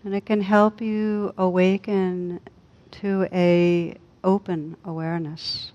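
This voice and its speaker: A woman leading a guided meditation as a teacher, speaking calmly and unhurriedly with long pauses. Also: lowish voice. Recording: dull, lo-fi recording.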